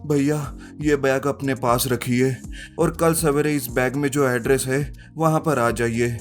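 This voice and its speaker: A man's voice: mid-range voice, steady tone, unhurried, natural voice. A man playing an earnest, polite young man in a narrated story. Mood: urgent. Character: earnest young man in trouble, wealthy heir. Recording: music behind the voice.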